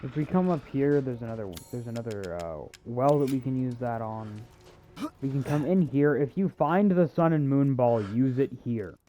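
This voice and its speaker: A man's voice: mid-range voice, normal speed, warm tone, highs cut off. Man doing casual game commentary, noisy background. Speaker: video game walkthrough host.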